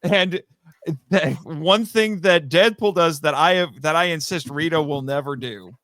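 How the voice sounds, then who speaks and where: Man, slightly high voice, dry close sound, podcast guest, animated casual talk, tangent-prone, stammering restarts. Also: a little slow, no echo. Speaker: podcast guest, voice actor and writer. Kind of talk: animated casual discussion of a character.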